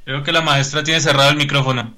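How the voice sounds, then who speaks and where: A man's voice: a little high, fairly even intonation, fast, pushed and bright. A man, politely moderating a panel on a video call. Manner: polite, formal address. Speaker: program director and moderator.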